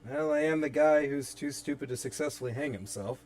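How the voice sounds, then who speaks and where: A man's voice: on the high side, a little fast, a little mellow, close to an everyday voice. A man voicing a troubled, polite young man carrying guilt, in a dramatic script reading. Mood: guilty, dejected. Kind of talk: dramatic script table read.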